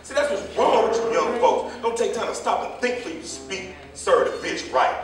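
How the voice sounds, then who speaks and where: Guy acting old gossipy cab driver in a stage play, high voice, folksy rambler, big echoey room. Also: animated, moderate pace. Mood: scolding. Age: older. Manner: folksy, rambling storytelling in colloquial dialect. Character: gossipy, combative old male jitney driver, scolding the young. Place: theater or hall staged reading, far mic. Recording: echoey hall, distant mic, faint noise.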